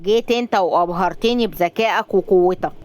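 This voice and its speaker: A woman's voice: lowish voice, brisk, darkish tone, lightly acted. Shady businessman around forty done by a woman, sly n threatening, demanding, story villain.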